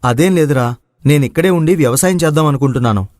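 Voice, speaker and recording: A man's voice: on the high side, fast talker, a little mellow, no room sound, clean studio sound, close to an everyday voice. A man playing an earnest, respectful, devoted young son who farms, in a dramatized moral story. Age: young adult.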